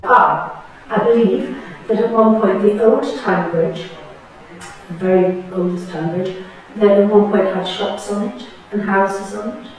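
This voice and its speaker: A woman of about sixty from Tyneside, thoughtfully recalling her memories in an oral history interview, pausing and restarting as she goes. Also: medium pitch, fairly even intonation, darkish tone, soft background music. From Tyneside, England. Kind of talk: reflective personal reminiscence. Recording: very echoey room, distant mic.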